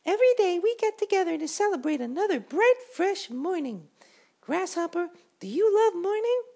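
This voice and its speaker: A man voicing an excitable male beetle from a club, squeaky and shouting, in a storybook reading. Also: normal speed, gravelly, heavily acted. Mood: cheerful.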